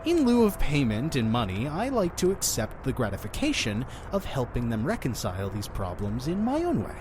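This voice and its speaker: Mysterious inn's keeper, male, played by an actor: chatty, theatrical, highly animated delivery for audio drama. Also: normal speed, music in the background. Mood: earnest, mysterious. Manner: effusive, talking over his guest.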